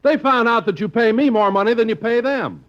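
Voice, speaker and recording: A man's voice: extremely high pitch, expressive, brisk. A man in a 1950s radio comedy, talking in a sheepish, hesitant way. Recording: lo-fi old radio broadcast.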